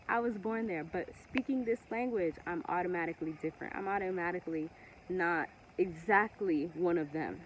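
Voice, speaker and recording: Woman from togo, ewe first language, earnest rambling monologue, lowish voice, muffled lo-fi audio. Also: slight background noise. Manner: earnest, passionate, with many pauses and restarts. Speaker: vlogger. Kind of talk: casual monologue to camera.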